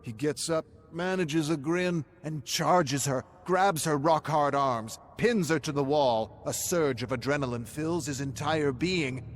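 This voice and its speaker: A man's voice: a little high, slight background noise. A man narrating a dramatic story from a script in an intense, suspenseful manner.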